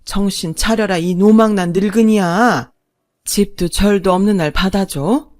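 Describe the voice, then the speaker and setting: A woman's voice: medium pitch, a little slow, a little mellow, close to an everyday voice. A woman voicing a long-suffering older wife and mother, fierce, defiant and coarse-tongued, in a dramatised family story. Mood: bitter fury. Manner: blunt, biting, coarse-tongued.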